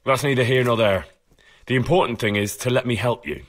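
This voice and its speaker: A man's voice: mid-range voice, a little fast, lightly acted. A man playing an earnest, persuasive young medieval investigator in a video game, polite, probing and firm. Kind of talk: video game cutscene acting.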